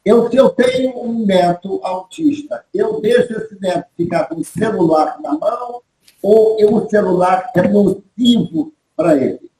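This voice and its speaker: A man hosting an online interview, asking questions in a halting, rephrasing way. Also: high voice, expressive, warm tone, echoey room, rough recording. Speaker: host.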